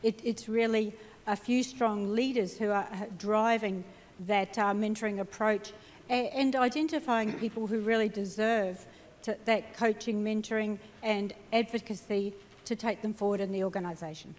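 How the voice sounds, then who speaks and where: A woman executive speaking at an average pitch in a measured, businesslike manner. Her voice comes through a PA into a large hall with a long reverb. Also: fairly even intonation. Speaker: panelist, chief information officer. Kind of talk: conference panel talk.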